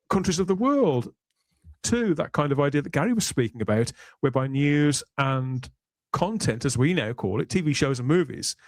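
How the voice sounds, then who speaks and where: A middle-aged man speaks as a podcast host in a conversational, reflective manner. His pitch sits in the middle, and he takes time to explain his points. The sound is dry, with little room to it. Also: a little mellow, no echo.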